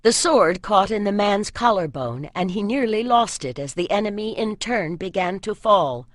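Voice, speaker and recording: A narrator reads an epic fantasy novel with solemn, expressive drama. The delivery is scripted storytelling, recorded close and dry in a studio. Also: mid-range voice, normal speed, no room sound. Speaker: audiobook narrator.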